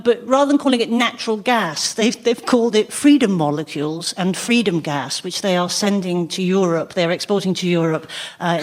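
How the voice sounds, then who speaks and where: High-pitched woman, chatty and incredulous. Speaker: panellist on a radio comedy quiz. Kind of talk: comic topical commentary.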